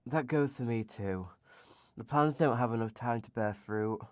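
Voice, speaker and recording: A man's voice: mid-range voice, moderate pace, darkish tone, dry recording, clean studio sound, natural voice. A man acting in a radio drama as a grumpy, sleepy spirit of hibernation season, grumbling with weary sarcasm. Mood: dry sarcasm.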